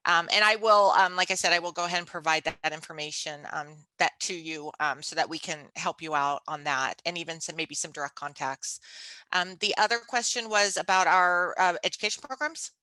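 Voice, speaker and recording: A woman's voice: fairly low pitch, a little fast, pushed and bright, dry recording, rough recording. A middle-aged woman from university admissions answering questions in a webinar, chatty with many fillers and restarts.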